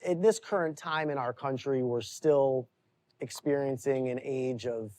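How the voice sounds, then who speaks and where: A woman's voice: a bass voice, unhurried, warm tone. A woman interviewing someone for a news feature.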